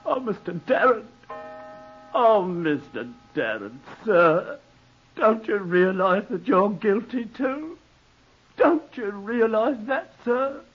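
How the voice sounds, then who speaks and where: An actor playing a deferential, morally outraged manservant, earnest and pleading, in a 1940s radio drama. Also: male voice, high-pitched, sing-song, takes it slowly, breathy edge, heavily acted. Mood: anguished. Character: deferential but morally outraged male servant. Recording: dull old 1940s radio broadcast.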